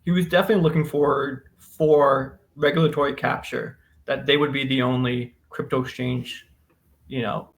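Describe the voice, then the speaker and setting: A man's voice: medium pitch, steady tone, moderate pace, gravelly. A man co-hosting a podcast, casually discussing a book in an opinionated way and posing questions to debate.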